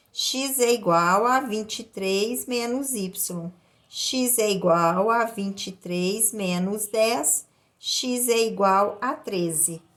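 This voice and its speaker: A woman's voice: fairly high pitch, fairly bright tone. A woman with a Brazilian accent explaining a lesson step by step as a maths teacher, in a didactic way with repeated phrases. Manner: didactic, repeats phrases and checks in with short tag questions.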